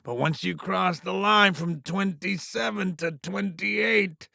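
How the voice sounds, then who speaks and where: High-pitched crass alien showbiz mogul. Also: male voice, vocal fry, pushed and bright, no room sound, studio quality, full character voice. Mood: smug, mocking. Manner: bombastic, fast-talking huckster, foul-mouthed, falsely chummy. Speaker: arena show promoter. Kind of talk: video game voice acting.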